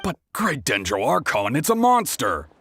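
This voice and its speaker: A man playing a stern, excitable guard in a video game, blustering and urgent. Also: medium pitch, normal speed, background noise. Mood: anxious, deferential. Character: stern excitable male guard, deferential to his goddess. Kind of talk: video game character acting.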